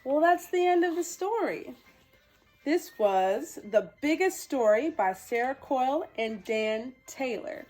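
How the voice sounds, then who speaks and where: A woman hosting a children's storytime, reading and talking to young viewers in an expressive, sweet manner. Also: sits high, unhurried, soft background music. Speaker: children's storytime host dressed as a princess character. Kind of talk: animated children's picture-book reading and direct address to young viewers.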